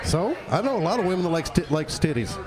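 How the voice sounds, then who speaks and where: A man's voice: high voice, very animated, quick pace. A man from the southern US bantering jokingly as a co-host in a busy outdoor spot. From southern United States. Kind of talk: casual bantering chat. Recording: crowd chatter, outdoor urban spot.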